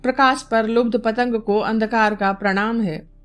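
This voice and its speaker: A woman as a bold high-voiced court dancer. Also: steady tone, unhurried, clean tone, natural voice. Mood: mock humble. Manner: poetic, philosophical, courageous retorts. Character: bold, witty, beautiful female court dancer. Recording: music bed behind the voice.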